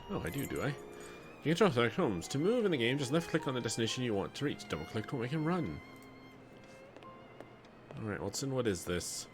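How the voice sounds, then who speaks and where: Male streamer, lively pitch, somewhat high, faint hiss behind, playful reading. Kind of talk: live game playthrough, reading aloud.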